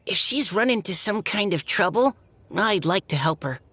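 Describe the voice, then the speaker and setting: A woman voicing a gentle, considerate young adventurer in a fantasy video game. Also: mid-range voice, animated, normal speed, husky, no echo. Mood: concerned, polite. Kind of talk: animated video game voice acting.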